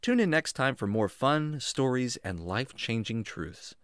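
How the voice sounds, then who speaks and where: A man's voice: mid-range voice, lively intonation, unhurried, a bit bright, clean tone, no room sound, studio quality. A man reading a scripted podcast outro as the show's creator and announcer.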